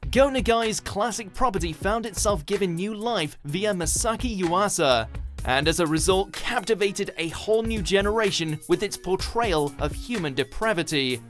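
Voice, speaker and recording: High-pitched male countdown narrator over music. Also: animated, normal speed. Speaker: narrator of a ranked countdown video. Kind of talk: scripted narration.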